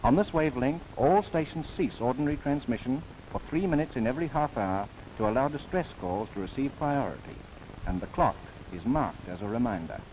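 A man narrating a scripted 1930s documentary film in a formal, measured, earnest manner. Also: medium pitch, lively intonation, a little mellow. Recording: noisy 1930s film soundtrack.

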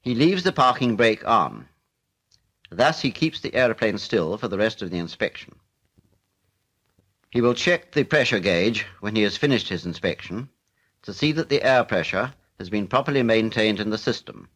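A British man narrating a 1940s military instructional film in a formal, measured, matter-of-fact way. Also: fairly low pitch, expressive, fairly bright tone, husky.

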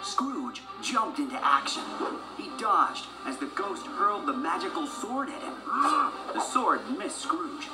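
Storybook narrator, female, lowish voice with lively swings, loud music underneath. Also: measured pace, roomy sound, low-quality recording. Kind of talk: animated scripted children's story narration.

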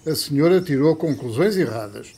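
A man's voice: a little high, expressive, normal speed, dark tone, slightly rough. A man playing a blustering, exasperated grocer in a radio soap, brusque and flustered, with a European Portuguese accent. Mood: exasperated, firm. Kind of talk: old-fashioned radio soap acting.

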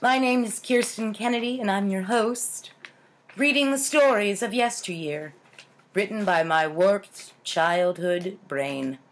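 A woman in her thirties with an American accent, reading stories aloud in a theatrical, wry, deliberate way with casual asides. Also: medium pitch. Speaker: host of a storytime series. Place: home kitchen, indoors.

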